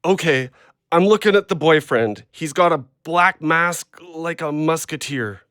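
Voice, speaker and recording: An actor playing an agitated, hard-up injured ex-logger who rambles bluntly in an audio play. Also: male voice, a little high, unhurried, a bit bright, clear, resonant tone, no echo, clean studio sound. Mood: rambling, proud. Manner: blunt, rambling, plain-spoken.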